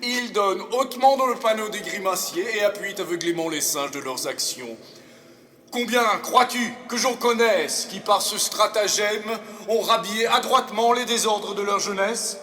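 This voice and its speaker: An actor playing a cynical, swaggering aristocratic seducer in a classical French theatre monologue, grandiloquent and swooping between loud boasts and hushed asides. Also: male voice, sits high, normal speed. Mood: cynical, scornful. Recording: echoey, reverberant hall.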